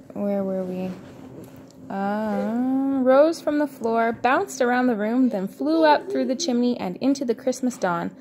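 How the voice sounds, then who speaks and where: A woman's voice: a little high, a little mellow. A woman reading a children's story aloud as a parent would to a child, in a homemade recording. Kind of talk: read-aloud children's storytelling with casual asides. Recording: clear background noise, homemade recording.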